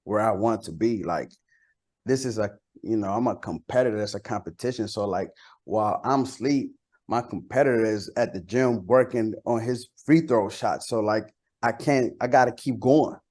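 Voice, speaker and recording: A man's voice: fairly low pitch, moderate pace, darkish tone. A man from Costa Rica, a native Spanish speaker, chatting casually as an interviewed rapper, slangy and repeating words for emphasis.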